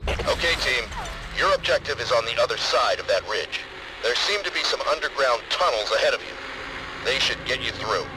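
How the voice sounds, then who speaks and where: An actor playing a stern military commander in a video game, briefing troops in a clipped, formal, authoritative manner. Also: male voice, medium pitch, expressive, moderate pace, noisy background, close to an everyday voice. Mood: commanding.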